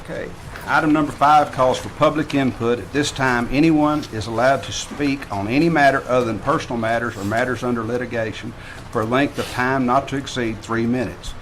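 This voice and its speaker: A man runs a public council meeting at an ordinary mid pitch. He works through procedure formally, heard over clear room noise on the meeting microphone. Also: steady tone, brisk, a bit bright. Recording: noisy council chamber microphone.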